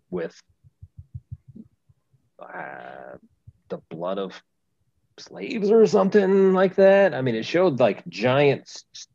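Rambling man with a low, dull-sounding voice. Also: vocal fry, no echo. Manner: rambling, with frequent restarts. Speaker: podcast co-host. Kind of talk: casual chat.

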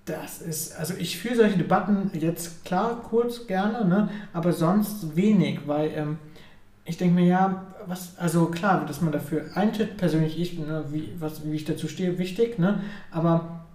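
A man's voice: on the high side, measured pace, a little mellow. A man originally from Ukraine chatting casually, hesitant and full of tag questions. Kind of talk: casual chat. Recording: echoey room.

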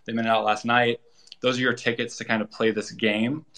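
Male interview guest, bright tone, conversational pitch style, explanatory with casual tags. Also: flat delivery, moderate pace. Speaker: guest, project founder.

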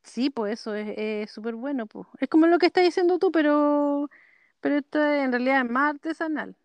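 A woman's voice: sits high, a little fast, no room sound, dull audio. A woman with a Chilean accent co-hosting a podcast, telling rambling stories casually from under a duvet in bed. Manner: rambling storyteller, laughs often. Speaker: podcast co-host. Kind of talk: casual chat. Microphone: headphones with computer.

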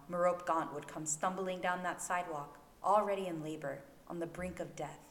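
A woman reading fiction aloud as a dramatic, scripted narration for an amateur home-recorded audio story. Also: lowish voice. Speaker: fan fiction podfic narrator. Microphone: new home recording microphone. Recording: echoey room, faint background noise.

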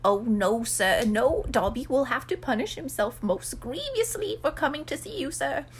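A woman voicing a small male house elf in a storybook reading, squeaky and high-pitched, earnest, pleading and servile. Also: lively intonation, moderate pace, slight background noise. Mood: anxious, deferential. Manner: earnest, pleading, speaks of self in third person, deferential.

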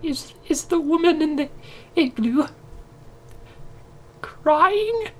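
A man voicing a timid, polite spider person in an audio drama, with halting, stammering, weepy speech. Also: very high-pitched, expressive, pitched above the performer's own voice, full character voice. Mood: anxious, hesitant.

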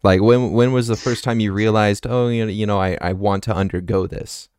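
Male podcast host, smooth and low, hesitant and apologetic interviewer. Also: fairly even intonation, fairly quick, darkish tone, no room sound, clean studio sound. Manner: hesitant, apologetic, stammering restarts. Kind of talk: casual interview.

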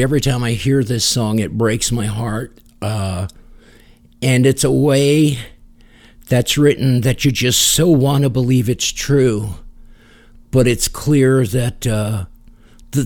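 A man hosting a music podcast, introducing songs in a halting, reflective way. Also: mid-range voice, darkish tone. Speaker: podcast host.

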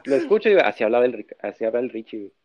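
A young man from Tijuana with a Tijuana Mexican accent, co-hosting a podcast in animated casual chat and acting out quotes. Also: mid-range voice. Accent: Tijuana Mexican Spanish. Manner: animated, quick, acts out quotes. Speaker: podcast co-host. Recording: dull lo-fi sound.

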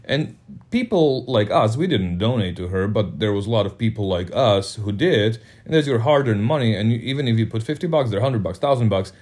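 A man with a low voice co-hosting a casual political talk show. He is informal and wry, and tends to repeat his phrases as he goes. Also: animated, fairly quick, a little mellow. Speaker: podcast co-host. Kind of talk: casual political commentary chat.

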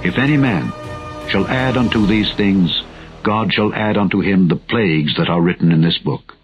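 Deep-voiced man solemnly reading scripture. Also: husky. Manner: solemn, measured, formal. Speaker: narrator. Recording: dull lo-fi audio with music behind. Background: background music.

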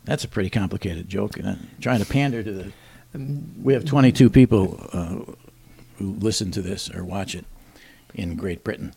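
A middle-aged man co-hosting a talk show, reading news items with wry deadpan punchlines and chuckling at his own jokes. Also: deep voice, moderate pace, creaky, husky. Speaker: radio show co-host. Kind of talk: joking news rundown.

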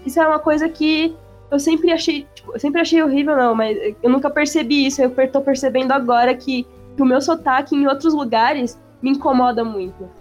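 A woman with a São Paulo accent chatting casually, full of slang and swearing, speeding up when she gets excited. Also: sits high, brisk, muffled recording, faint music behind. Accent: São Paulo Portuguese. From São Paulo, Brazil. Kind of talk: casual chat.

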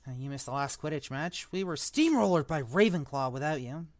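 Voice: mid-range voice, lively intonation, fairly quick, no room sound, clean studio sound, voice pushed down, close to an everyday voice. A reader voicing a glum young schoolboy who grumbles about school.

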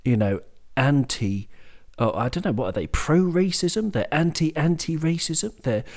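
A man's voice: a little high, animated, unhurried, a little mellow. A man hosting a casual football podcast, blunt and opinionated, who swears freely. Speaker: podcast host.

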